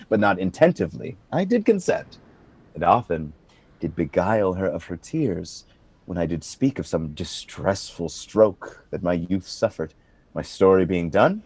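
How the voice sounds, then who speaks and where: Guy acting proud eloquent general in a shakespeare radio play, husky, big swells and drops, dry sound. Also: medium pitch, a little mellow, dry recording, full character voice.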